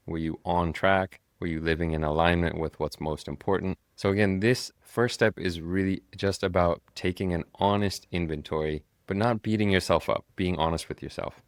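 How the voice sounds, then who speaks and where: A man's voice: deep voice, dry recording, studio quality. A male podcast host giving an earnest, encouraging self-help talk while recovering from a cold and a sore throat. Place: traveling, recording on location.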